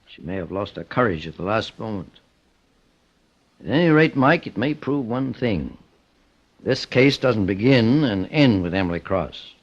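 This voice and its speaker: An American actor playing a calm, formal, authoritative gentleman detective in an old-time radio drama, speaking in a measured, deliberate way. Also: lowish voice, slightly rough, heavily acted. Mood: thoughtful. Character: calm, authoritative gentleman private investigator, the boss. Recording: muffled old radio broadcast.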